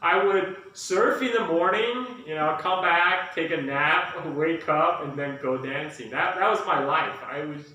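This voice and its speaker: A man hosting his own channel, chatting casually with his fiancee in a loud, projecting voice and telling stories with quick restarts. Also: a little high, a little hiss or hum. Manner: animated storytelling with quick restarts. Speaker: channel host. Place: home in Bali. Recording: echoey room, distant mic.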